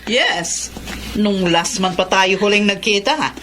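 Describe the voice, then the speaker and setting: A woman's voice: mid-range voice, unhurried, a bit bright, a little hiss or hum, natural voice. A woman acting as a breezy, pointed middle-aged aunt in a Filipino radio drama, with an arch manner.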